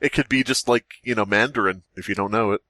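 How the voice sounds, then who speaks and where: A man's voice: lowish voice, fast talker, pushed and bright, dry recording. A man co-hosting a comedy podcast, bantering casually, reading creepy stories aloud and jokingly slipping into mock quotes. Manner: jokey, slips into mock quotes. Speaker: podcast co-host.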